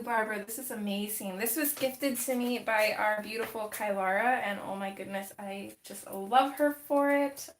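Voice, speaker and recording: A woman hosting a casual livestream while coloring, chatty and thinking aloud, greeting viewers by name, getting over a cold with a slight cough. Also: fairly high pitch. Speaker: livestream host. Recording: roomy echo.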